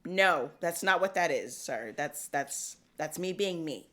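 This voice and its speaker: A woman hosting a podcast in casual chat, animated and slangy, laughing often. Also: low-pitched, a little slow. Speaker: podcast host.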